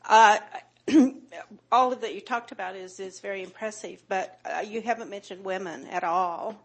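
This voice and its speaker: A woman's voice: mid-range voice, moderate pace, breathy edge. A woman from the audience at a panel event, asking a direct, pointed question.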